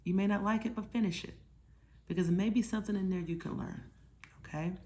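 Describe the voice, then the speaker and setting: A woman's voice: lowish voice, darkish tone, a little hiss or hum. A woman hosting a children's storytime, talking casually and teaching in simple words, with questions to check that listeners follow. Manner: simple, informal explanations for children. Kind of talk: casual children's lesson, conversational.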